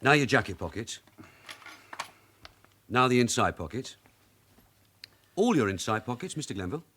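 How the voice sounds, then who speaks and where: Shrewd veteran London detective sergeant, radio drama; dry, brisk, unfussy, with animated swings of pitch. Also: male voice, slight background noise. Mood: firm, sardonic. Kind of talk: naturalistic British radio drama acting.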